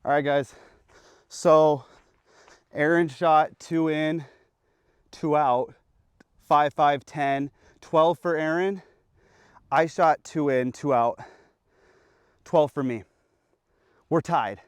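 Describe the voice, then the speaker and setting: A man's voice: fairly high pitch, slow pace, long pauses, clear, resonant tone, no echo. A young man hosting an archery vlog outdoors on public land, hyped and slangy, talking to the camera. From Pacific Northwest of the US. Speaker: vlogger. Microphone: action camera.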